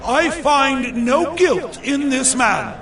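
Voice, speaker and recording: An actor playing an exasperated Roman governor, questioning emphatically in a theatrical scripture drama. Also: male voice, extremely high pitch, expressive, slow, creaky, noisy background, full character voice.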